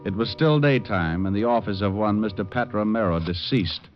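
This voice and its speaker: An actor playing a cocky, wry, hard-boiled American private detective in an old-time radio drama. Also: male voice, fairly low pitch, normal speed, close to an everyday voice. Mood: wry narrating. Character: wisecracking, cocky, tough male private detective. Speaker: lead actor and narrator of a radio detective show. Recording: dull old radio recording with music.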